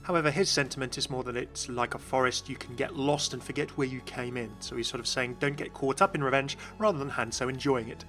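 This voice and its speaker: A man's voice: mid-range voice, fairly even intonation, fast talker, hardly any pauses, sharp tone, soft background music. A man giving upbeat, informative explanatory commentary as narrator of a video game channel, recorded close on mic.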